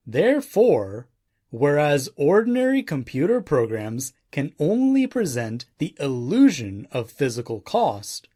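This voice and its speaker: A man reading nonfiction audiobook narration in a measured, deliberate way, stressing key terms with a husky voice. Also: medium pitch, a little mellow, no room sound, clean studio sound. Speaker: audiobook reader.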